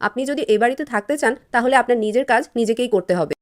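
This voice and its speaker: A woman playing a selfish, sharp-tongued younger daughter-in-law in a cartoon, brusque and rattling. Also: a little high, racing, close to an everyday voice. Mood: dismissive. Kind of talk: Bengali cartoon voice acting.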